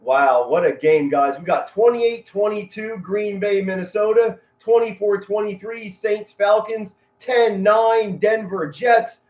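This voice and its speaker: A man hosting a live sports play-by-play stream, talking as a loud, excitable fan with dramatic, colorful phrasing and emphatic pauses. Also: high-pitched. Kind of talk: loud, excitable fan commentary mixed with chat. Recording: roomy, echoey, dull lo-fi stream audio.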